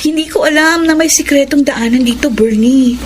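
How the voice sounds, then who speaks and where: A woman's voice: sits high, normal speed, loud music behind the voice, lightly acted. An actress playing a friendly young woman among her friends in a radio drama. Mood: puzzled.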